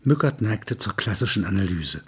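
A man narrates literary prose in a low, heavily husky voice. The delivery is measured and sober, like a read audio piece, with a rough edge to the tone. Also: sing-song.

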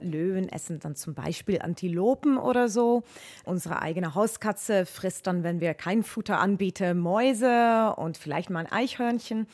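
A woman's voice: mid-range voice, normal speed, darkish tone. A woman from the Netherlands with a Dutch accent, explaining things as a zoology expert. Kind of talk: explanatory interview answers.

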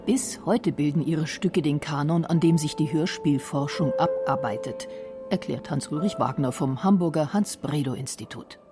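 A woman narrating a scripted radio documentary in a calm, measured way. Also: sits low, music in the background.

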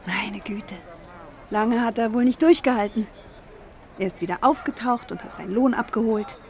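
An actress playing a sober, pragmatic middle-aged wife in a naturalistic radio drama, giving terse, matter-of-fact replies. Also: female voice, on the high side, moderate pace, natural voice. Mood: exasperated. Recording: urban traffic ambience.

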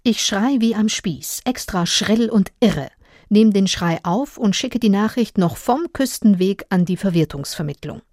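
A woman reading as a brazen, cold female narrator of a dystopian novel, in a deliberate, emphatic literary delivery. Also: mid-range voice, expressive, darkish tone, no echo, clean studio sound.

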